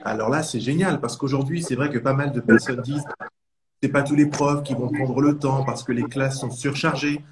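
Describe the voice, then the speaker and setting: A man hosting a live-streamed interview, talking warmly and at length with rambling questions. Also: mid-range voice, fairly even intonation, normal speed, noisy background. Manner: warm, effusive, rambling questions. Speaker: interview host.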